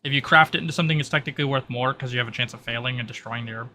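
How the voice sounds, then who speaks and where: A man's voice: medium pitch, rapid-fire, sharp tone, slight background noise. A man running a tabletop roleplay as game master, narrating and explaining rules in a casual way.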